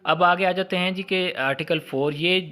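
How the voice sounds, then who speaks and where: A man's voice: sits high, normal speed, bright, forceful tone. A man with a Pakistani accent giving an informal explanatory lecture, didactic, repeating key points and mixing in English terms. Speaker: law lecturer.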